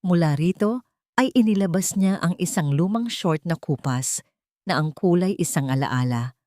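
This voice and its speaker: A woman narrating a drama story in a slow, somber, suspenseful scripted manner. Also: fairly low pitch, a little mellow, no echo, clean studio sound.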